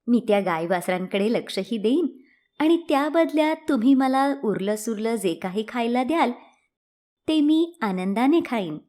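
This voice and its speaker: A devout young storybook heroine, played by a woman narrator, with a fairly high voice. She speaks earnestly and firmly, as someone whose mind is made up about her faith. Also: lively intonation, moderate pace, darkish tone, close to an everyday voice. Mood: calm, devout, firm. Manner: earnest, firm. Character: pious, resolute young unmarried woman devoted to Vishnu. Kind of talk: storybook character acting.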